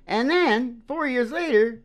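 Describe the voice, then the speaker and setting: A man's voice: extremely high pitch, lively intonation, slow pace. A man hosting a radio show in a casual, rambling comic monologue, with a folksy, theatrical way of talking. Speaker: radio show host and singer.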